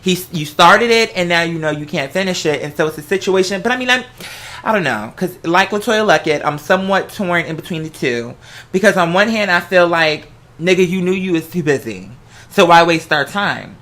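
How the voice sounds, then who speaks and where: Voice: medium pitch, lively intonation, brisk, a bit bright. A host giving casual relationship advice in a conversational, sassy way, with slangy, emphatic asides. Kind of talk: casual solo advice talk to listeners.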